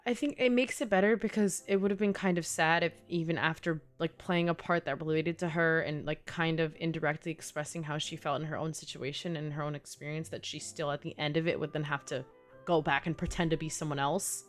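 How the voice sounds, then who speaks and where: Lower-voiced New York woman streamer. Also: fairly even intonation, a little fast, faint music behind. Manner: musing, thinking aloud in loose, chatty phrasing. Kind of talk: casual game livestream commentary.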